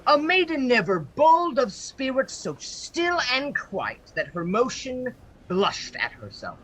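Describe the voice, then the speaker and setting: Husky, aggrieved old father declaiming slowly by an actor. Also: high voice, very animated, a bit bright, heavily acted, rough recording. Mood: accusing. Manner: emphatic, halting, aggrieved declamation. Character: outraged, grieving elderly father, a Venetian senator. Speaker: amateur actor in an online radio play. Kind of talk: Shakespearean verse acting for radio.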